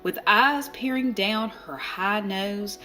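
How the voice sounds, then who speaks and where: A woman narrating a children's storybook aloud, expressively and deliberately, with dramatic pauses. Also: mid-range voice, bright, forceful tone. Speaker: storybook reader. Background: music.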